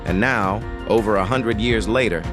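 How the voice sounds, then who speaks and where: A man's voice: lowish voice, normal speed. A man reading scripted, dramatic promotional narration, with music playing behind him. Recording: music bed under narration.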